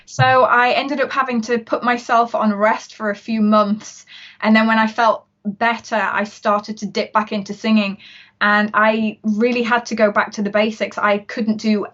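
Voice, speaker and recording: Upbeat woman guest, higher register, muffled lo-fi video-call sound, chatty storyteller. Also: flat delivery, moderate pace, fairly bright tone. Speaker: musician and interview guest. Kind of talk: casual video-call interview.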